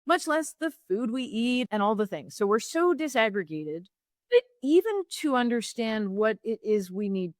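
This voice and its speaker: A woman's voice: mid-range voice, no room sound, clean studio sound. A woman guest on an interview podcast, a sustainability consultant explaining her ideas step by step in a reflective, conversational way.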